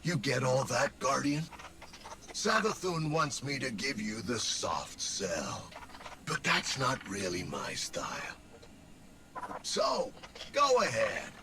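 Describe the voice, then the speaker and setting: A man's voice: mid-range voice, huge pitch swings, slow, noisy background, exaggerated voice. A snide, swooping little robot henchman. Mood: mocking. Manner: sarcastic, brash, streetwise. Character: snide, resentful little robot companion serving villains, male. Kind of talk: video game character acting.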